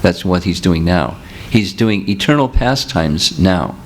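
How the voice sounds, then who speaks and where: A man's voice: low-pitched, lively intonation, measured pace, slight background noise. A man giving a seminar talk as a spiritual teacher, explaining patiently with examples and answering questions. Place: seminar room with audience.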